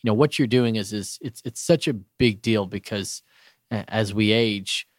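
Low-voiced guy from bulgaria, earnest, keeps restarting, dry studio sound. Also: no room sound. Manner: earnest, halting, with many restarts and fillers. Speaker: radio show guest, business owner. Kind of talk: casual radio interview. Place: radio studio.

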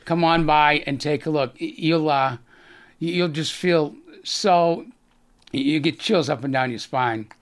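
A man's voice: on the high side, unhurried. A middle-aged man with a Boston accent, a guest on a business radio show, talking earnestly with many restarts and fillers. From Boston area, USA. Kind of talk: radio interview.

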